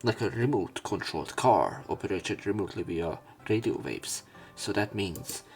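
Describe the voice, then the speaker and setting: A man's voice: on the low side, animated, normal speed, soft background music. A man narrating a visual novel let's play, reading the text aloud and adding his own asides.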